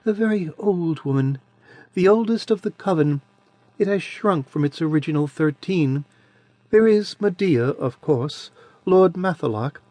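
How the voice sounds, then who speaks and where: A man's voice: a little high, lively intonation, unhurried, no room sound, voice pushed up. A man doing Adiran, a sweet-voiced ancient crone. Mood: eerie calm. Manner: soft and quiet. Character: ancient tiny crone of a coven, sweet childish voice. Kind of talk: audiobook character voice.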